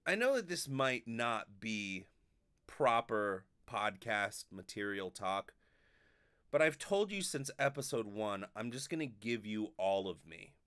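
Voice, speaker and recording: A man in his thirties hosting a podcast, delivering an animated, rambling, sweary comedic monologue into a close studio microphone. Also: medium pitch, dry recording. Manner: animated, profane, rambling storytelling. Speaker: podcast host. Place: small bedroom, indoors. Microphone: close desk studio microphone with pop filter.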